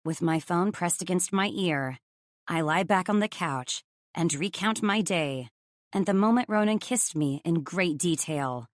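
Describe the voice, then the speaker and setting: A woman's voice: lowish voice, no echo, clean studio sound. A woman reading first-person romance audiobook narration from a script.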